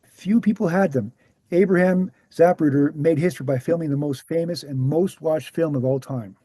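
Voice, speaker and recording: Man acting earnest male researcher, very soft dark tone, slightly high pitch, measured explanations, screenplay table read, dry close sound. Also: breathy edge, no room sound. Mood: matter-of-fact. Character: Gary, earnest male presenter, calm debunking researcher.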